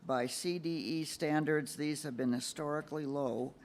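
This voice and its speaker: A man's voice: on the high side, fairly even intonation, moderate pace. A man moderating a candidate forum, reading questions and giving short prompts. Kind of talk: moderating, brief.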